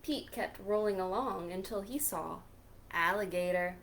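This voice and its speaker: A woman hosting a children's storytime, reading a picture book aloud in a deliberate, expressive way. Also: medium pitch, a little hiss or hum. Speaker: children's librarian storytime host.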